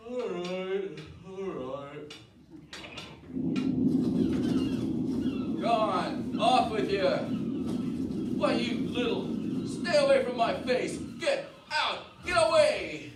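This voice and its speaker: A man's voice: sits high, animated, slow, heavy background noise. Man playing shaken father in echoey radio drama. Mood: alarmed, fighting. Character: shaken, protective husband and father battling birds. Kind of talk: radio drama acting with first-person dramatic narration. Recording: roomy, distant stage sound with music.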